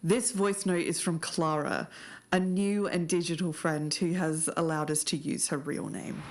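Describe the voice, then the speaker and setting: Low-voiced Australian woman hosting a podcast. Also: fairly even intonation, a little slow. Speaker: podcast co-host. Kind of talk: podcast presenting.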